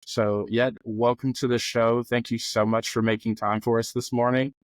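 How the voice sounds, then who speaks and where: Young male podcast host, chatty and upbeat, mid-pitched, dry close sound. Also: little pitch movement, normal speed, no room sound. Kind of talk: casual podcast interview.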